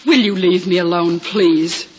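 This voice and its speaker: A woman's voice: lowish voice, a little mellow, close to an everyday voice. An actress as a despairing newly blind young woman. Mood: distressed, pleading. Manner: pleading, halting, breaks into stammers. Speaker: hospital patient. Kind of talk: 1950s radio drama acting. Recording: muffled hissy old radio broadcast.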